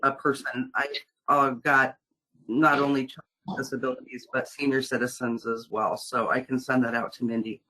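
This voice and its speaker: A woman's voice: a bass voice, flat delivery, normal speed, sharp tone, a little hiss or hum, rough recording. A woman on a video call offering to share resource lists in a helpful way.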